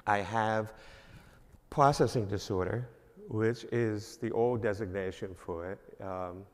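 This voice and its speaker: An older man with a New York accent, a minister giving a rambling, self-deprecating introduction at a church service, full of fillers. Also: lowish voice. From New York, Long Island. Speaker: guest speaker, minister. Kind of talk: informal church address. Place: church sanctuary. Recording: long reverb of a church hall.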